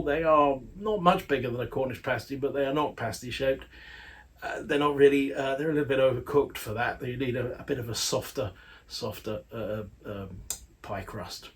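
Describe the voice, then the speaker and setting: A man's voice: medium pitch, brisk. A man, an audio magazine editor, giving a casual spoken product review in a chatty, rambling way with playful asides.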